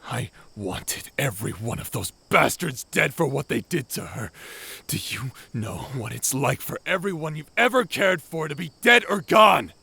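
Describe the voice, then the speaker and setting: A man playing a guilt-ridden, grieving ex space pirate in an audio drama, speaking haltingly with heavy pauses. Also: mid-range voice, very animated, vocal fry, pushed and bright, breathy edge, exaggerated voice. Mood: anguished, furious. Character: grief-stricken, guilt-ridden male ex space pirate brother. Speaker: voice actor in an audio roleplay.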